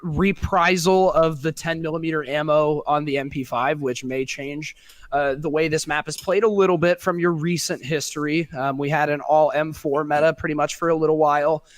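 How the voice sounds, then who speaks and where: A man's voice: fairly high pitch, fairly even intonation, normal speed, dry recording. Chatty male esports caster, dry sound. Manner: chatty, analytical, with many fillers. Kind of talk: casual esports commentary.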